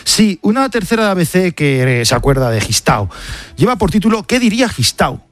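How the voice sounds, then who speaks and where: A man's voice: sits high, sing-song, normal speed, soft background music. A man with an accent from Spain presenting a scripted press review on the radio, with conversational asides to a colleague. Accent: Spanish, from Spain.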